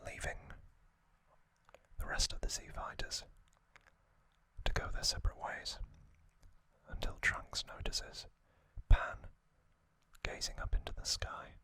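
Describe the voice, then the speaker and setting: A man whispering an ASMR narration, telling a story gently in short, hushed phrases as the host. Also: slow, pauses often, no echo.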